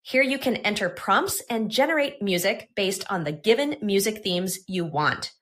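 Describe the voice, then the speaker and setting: A woman presenting software in a scripted tutorial narration. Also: medium pitch, lively intonation, moderate pace.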